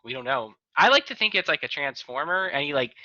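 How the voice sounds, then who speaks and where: A man's voice: on the high side, expressive, fairly quick, sharp tone, dry recording, dull audio. A man hosting a casual video-call chat, making dry, teasing wisecracks. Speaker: host.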